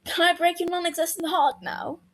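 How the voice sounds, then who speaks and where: A woman's voice: very high voice, steady tone, moderate pace, voice pushed up. A woman voicing a cheeky young female surgeon who talks in a bouncy, teasing way and swears freely.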